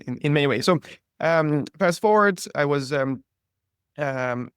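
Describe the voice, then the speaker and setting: A man from Ukraine with a Ukrainian accent, a priest telling his life story as an interview guest in a relaxed, conversational way with many fillers. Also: medium pitch, clear, resonant tone, no room sound, clean studio sound. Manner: conversational, frequent fillers and restarts.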